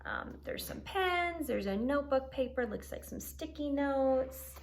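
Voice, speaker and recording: A woman's voice: high-pitched, expressive, unhurried. A woman teacher explaining things simply to young pupils and reading aloud, going back over key points.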